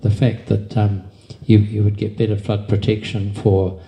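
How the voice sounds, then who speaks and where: A man chairing a community meeting, patiently explaining in a measured way. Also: fairly low pitch, flat delivery, creaky, dark tone, low-quality recording.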